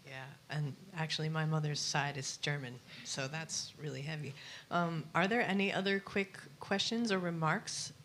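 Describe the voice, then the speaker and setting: A woman hosting an audience question-and-answer session at a live event, speaking in a relaxed, chatty way. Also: sits low, moderate pace, few pauses, a little hiss or hum. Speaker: event host and performing musician.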